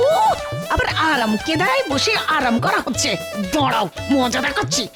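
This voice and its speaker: Casting: fierce nagging village wife, folk-tale cartoon, high, swooping, sarcastic, theatrical. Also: female voice, sing-song, normal speed. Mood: threatening. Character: sharp-tongued, bossy village wife, fiercely scolding. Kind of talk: animated children's folk tale dub. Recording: music behind the voice.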